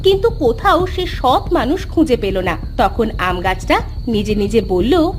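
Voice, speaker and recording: High-voiced woman narrating a fairy tale. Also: very animated, measured pace, slight background noise.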